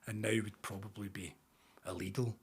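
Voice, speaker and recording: A man's voice: on the low side, steady tone, brisk, breathy edge. Jokey Scottish man hosting. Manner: jokey, chatty, leading questions. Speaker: podcast host. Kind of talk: casual interview chat.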